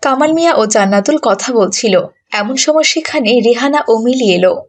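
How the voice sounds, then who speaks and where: A woman with a very high voice narrates a children's cartoon. She reads scripted lines in a storybook style, as the narrator of a moral tale. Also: animated, moderate pace.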